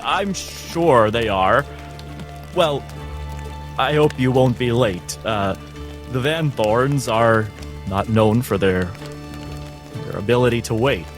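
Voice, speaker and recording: Man acting as a lumber worker, deferential, wry, measured and halting, tabletop roleplay, music underneath. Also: mid-range voice, expressive. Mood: polite, wry.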